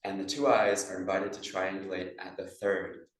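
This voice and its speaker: A man with a low voice guides a meditation class as a yoga teacher. His delivery stays even, in measured phrases with pauses. He sounds distant from the mic, in a heavily echoing room. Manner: measured phrasing with pauses, instructing breath and posture. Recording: echoey room, distant microphone.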